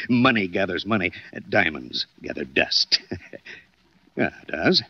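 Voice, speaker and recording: Sly older rich diamond seller, low swooping voice, gloating, excitable, old-time radio drama. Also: male voice, slow pace, breathy edge, exaggerated voice. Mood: sly, guarded. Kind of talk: 1940s radio acting. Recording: dull lo-fi 1940s radio recording.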